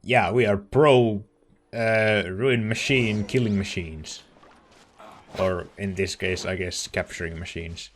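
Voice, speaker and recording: Slow, low-voiced male gamer. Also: fairly even intonation, slight background noise. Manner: hesitant, musing, trailing off. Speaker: let's play streamer. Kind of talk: casual gameplay commentary.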